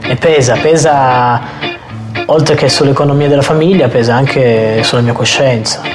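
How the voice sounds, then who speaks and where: A man's voice: medium pitch, steady tone, normal speed. Man speaking candidly over guitar music. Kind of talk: personal testimony, conversational.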